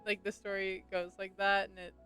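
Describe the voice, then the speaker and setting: A woman's voice: mid-range voice, level tone, normal speed, sharp tone, clean tone. A woman chatting casually and enthusiastically as she streams a game. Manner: gushing, enthusiastic. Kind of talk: casual livestream gaming chat. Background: game music.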